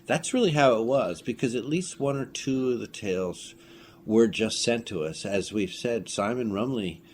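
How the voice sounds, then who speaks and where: A man's voice: mid-range voice, expressive, normal speed, a little mellow. A man being interviewed as an audio drama creator, telling anecdotes in a casual, rambling way and acting out quotes. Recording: noticeable room background noise.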